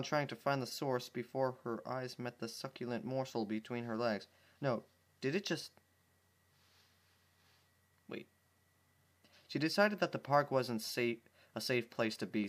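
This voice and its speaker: A man narrating fan fiction as an amateur reader, informally, with exasperated casual asides and stumbles over his words. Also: medium pitch.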